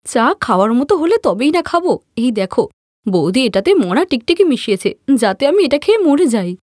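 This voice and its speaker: Woman playing a shrill, arrogant young sister-in-law. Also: sing-song, a little fast, a little mellow, no room sound, clean studio sound. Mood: angry whining. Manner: accusing, taunting, melodramatic. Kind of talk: Bengali cartoon story voice acting.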